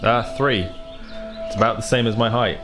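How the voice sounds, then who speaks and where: A man's voice: mid-range voice, fairly even intonation, measured pace, over music. A man playing a tabletop role-playing game and chatting casually. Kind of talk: casual chat.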